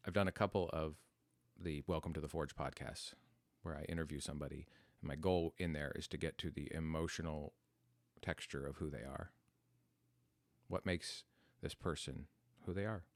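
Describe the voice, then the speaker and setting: Podcast host, male, 40s, American. Very deep voice recorded dry, thinking aloud through a personal story. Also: fairly even intonation, normal speed, dry recording. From United States. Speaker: podcast host telling their life story. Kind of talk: reflective, unscripted personal storytelling.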